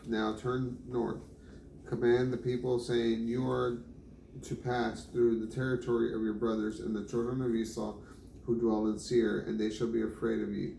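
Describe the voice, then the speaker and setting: A man reading scripture aloud in a flat, steady way. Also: medium pitch, moderate pace, vocal fry, roomy sound, a little hiss or hum, low-quality recording. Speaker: Bible reader.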